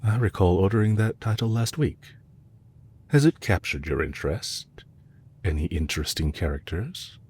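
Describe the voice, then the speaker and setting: Villain leader for intimate audio roleplay, male actor: low, husky register, courteous and measured, calculating under a gently teasing manner. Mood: gently curious. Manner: polite, measured, softly teasing. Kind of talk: intimate scripted audio roleplay addressed to the listener.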